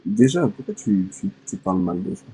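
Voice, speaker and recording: A man calling into a live debate, talking haltingly with many fillers. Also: fairly low pitch, big pitch swings, dark tone, slight background noise, low-quality recording. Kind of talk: hesitant argumentative chat.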